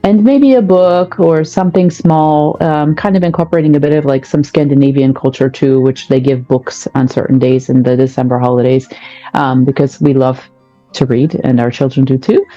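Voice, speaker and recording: A woman's voice: rumbling low, fairly even intonation, moderate pace, mellow, muffled recording, faint music behind. A woman, a podcast guest, explaining family life in a reflective, thoughtful way. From United States. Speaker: guest, parenting coach. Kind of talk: podcast conversation.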